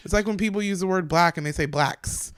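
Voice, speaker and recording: A woman with a deep voice, a stand-up comedian talking frankly as a podcast guest in casual conversation. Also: clear, resonant tone. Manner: frank, talks fast in long run-on explanations.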